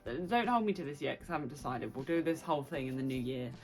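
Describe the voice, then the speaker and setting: A young British woman chatting casually and excitably to her viewers as a book vlogger. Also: low voice, fast, soft background music, poor audio. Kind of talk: casual chatty vlog to camera.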